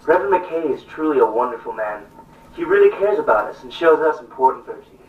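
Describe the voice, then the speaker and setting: Man acting an animated, emphatic villager, echoey. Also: mid-range voice, a little fast, natural voice. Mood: grateful. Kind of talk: puppet show acting. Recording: echoey distant dull stage recording with music.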